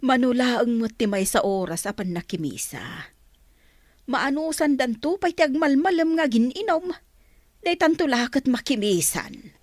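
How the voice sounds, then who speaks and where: A woman's voice: high-pitched, animated, measured pace, no room sound, clean studio sound. A woman playing a disapproving, scolding village mother in a comedy radio play. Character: disapproving village mother, grumbling about churchgoers. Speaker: actor in a radio drama.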